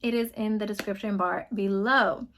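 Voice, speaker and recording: A woman in her twenties with a New York accent, chatting quickly and bubbly through a casual product review vlog. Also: medium pitch, steady tone, fairly bright tone, a little hiss or hum. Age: 20s. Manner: fast-talking, bubbly and emphatic. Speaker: beauty vlogger.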